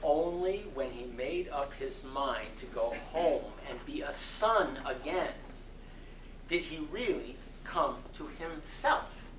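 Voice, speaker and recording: Guy doing a dramatic sermon reading, forceful n oratorical, far from the mic in a roomy hall. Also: a little high, expressive. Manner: oratorical, impassioned, deliberate phrasing with dramatic emphasis. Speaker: reader of a sermon. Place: community center hall. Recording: distant mic in echoey room, faint noise.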